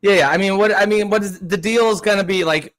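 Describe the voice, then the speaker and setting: A Canadian man chatting about politics with a sarcastic, mocking edge. He trips over restarts and fillers, and his voice sits a little high for a man. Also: steady tone, fast, fairly bright tone. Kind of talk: casual political chat.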